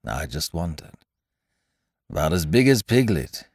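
A man's voice: very deep voice, big pitch swings, slow pace, no room sound, studio quality, exaggerated voice. A man voicing a gloomy old donkey in a storybook, mournful, murmuring and resigned. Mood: gloomy resignation.

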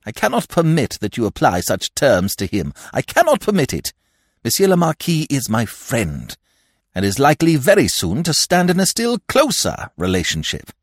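A blustering, easily affronted old lord, as a male narrator puts him on in a storybook reading. His voice rides high and shrill, leaping all over the scale whenever he is crossed. The sound is dry and close. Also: huge pitch swings, normal speed, husky, no room sound, exaggerated voice. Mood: indignant. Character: testy elderly male nobleman, fussy and shrill. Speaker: provincial lord and godfather.

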